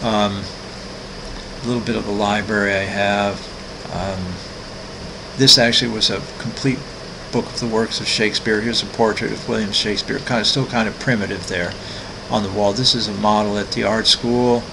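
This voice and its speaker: Older guy, low gravelly voice, lots of ums, noisy home recording. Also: steady tone, moderate pace. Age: 60s. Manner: rambling, many ums and restarts. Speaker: artist walking through his website. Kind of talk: casual screen-recorded walkthrough.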